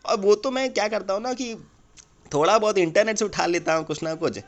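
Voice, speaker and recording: Man playing a cynical motivational guru, slightly high voice, coarse, swearing, irritable rambling rant, comedy phone-call sketch. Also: fairly quick. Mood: scornful, boastful. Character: crude, irritable, cynical male motivational speaker admitting his con.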